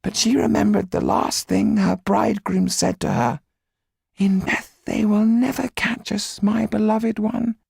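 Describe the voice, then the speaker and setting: A man voicing a solemn older Romany mystic in an audiobook, with a whispery, gravelly, husky voice and slow, hushed storytelling. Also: high-pitched, dry recording, voice pushed up, exaggerated voice. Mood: grave sorrow. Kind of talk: audiobook character reading.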